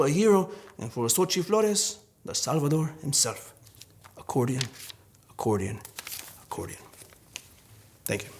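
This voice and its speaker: A man's voice: medium pitch, creaky, a little hiss or hum. A man from Arizona, a poet, reading and talking about his own work. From Arizona, United States. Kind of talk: poetry reading and commentary on own poem.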